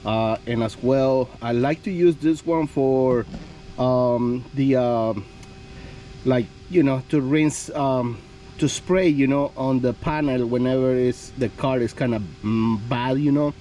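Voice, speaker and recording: A man's voice: mid-range voice, slow, mellow, slight background noise. A man hosting a car detailing vlog in casual chat, rambling with lots of "you know" and "um", also interviewing a guest. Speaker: car detailer and channel host.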